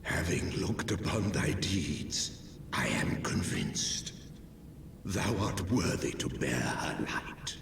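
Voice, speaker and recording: An ancient dragon speaks in an extremely deep, slow rumble. His archaic words are grave and proud, swelling and sinking in a fantasy game style. The voice rolls through a long cavernous reverb. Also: sing-song, creaky, exaggerated voice. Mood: solemn respect. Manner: archaic, stately, portentous speech. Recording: cavernous echo, distant, noisy ambience.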